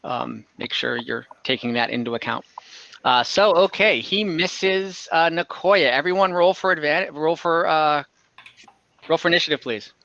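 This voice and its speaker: A man with a fairly high, bright voice runs a tabletop game online. He speaks casually and informally as the game master. The recording is close and dry, though a little lo-fi. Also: moderate pace, vocal fry, no echo.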